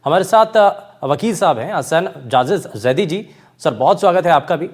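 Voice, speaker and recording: A man's voice: on the high side, lively intonation, moderate pace, breathy edge. A man anchoring a television news debate, formal and courteous, speaking in long run-on sentences. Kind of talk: TV news anchoring, introducing a panel.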